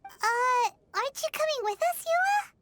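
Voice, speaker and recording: A woman voicing a small, childlike fairy companion in a video game, squeaky, curious and blunt. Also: very animated, normal speed, background noise. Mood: awkward, surprised. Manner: speaks of self in third person.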